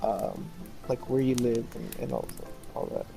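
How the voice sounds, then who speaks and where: A young man from El Paso, answering interview questions in a relaxed, rambling way. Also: mid-range voice, unhurried, vocal fry, faint music behind, poor audio. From El Paso, Texas.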